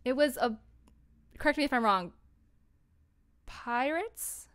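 A woman's voice: high-pitched, animated, takes it slowly, a bit bright, clean tone. A young woman chatting casually on a work livestream, giggly and chatty, sometimes murmuring to herself as she works. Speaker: audio drama creator, live streamer. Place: small room at home, indoors.

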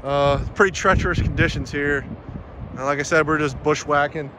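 A man narrating a hiking vlog in a casual, matter-of-fact, halting way as he walks. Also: on the high side, steady tone. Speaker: hiking vlogger. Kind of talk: casual walk-along narration. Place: outdoors in woods by a river. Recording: outdoor phone video with ambient noise.

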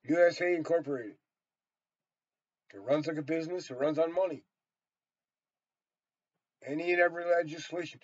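An American man in his sixties talks in a somewhat high voice, rambling bluntly and emphatically with plenty of swearing. The sound is dry and a bit dull, like a webcam in a small room. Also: normal speed, long pauses, no room sound. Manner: blunt, heavily profane, emphatic. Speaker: storyteller and vlogger. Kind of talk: rambling opinionated monologue to camera.

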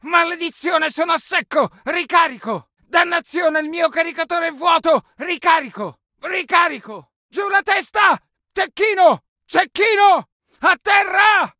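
Urgent high-pitched Italian soldier. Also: male voice, moderate pace, few pauses, no room sound, studio quality, heavily acted. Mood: frantic, urgent. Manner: forceful, urgent barking. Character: urgent young Italian infantry soldier in battle. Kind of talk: shouted battle orders for a war video game.

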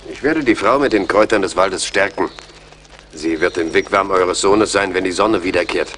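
Deep-voiced earnest army major. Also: male voice, normal speed, fairly bright tone, slight background noise. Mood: solemn. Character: army major, earnest, anxious gentleman soldier. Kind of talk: German radio play acting.

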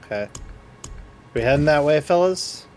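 A man's voice: fairly high pitch, animated, moderate pace, slight background noise. A man in casual chat as he plays a co-op video game. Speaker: co-op gamer. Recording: game music behind the voice.

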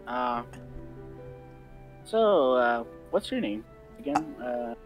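A man improvising a newcomer slayer in a game roleplay, speaking tentatively and haltingly. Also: medium pitch, sing-song, poor audio. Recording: music clearly behind the voice. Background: game music.

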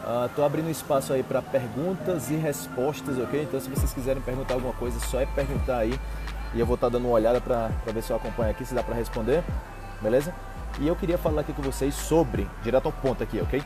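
A man's voice: mid-range voice, quick pace, music in the background. A young Brazilian man with a Brazilian Portuguese accent, a Portuguese teacher chatting casually to viewers, checking in often with "ok?". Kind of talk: casual live-stream chat. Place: outdoors on a city street with trees. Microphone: earphone mic on a phone held close.

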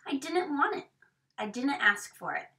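High-voiced woman reading a picture book. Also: big pitch swings, moderate pace, bright, forceful tone. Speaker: storyteller reading aloud. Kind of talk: expressive picture-book read-aloud. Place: small indoor room with bookshelves. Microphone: camera a few metres away. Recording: distant microphone in a room.

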